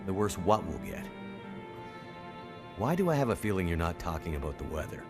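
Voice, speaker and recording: A gruff bush pilot, low and guarded, who answers in short clipped sentences. The game cutscene has loud music running under his lines. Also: big pitch swings, fairly quick. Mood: heated. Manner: terse, clipped, defensive. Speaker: game character.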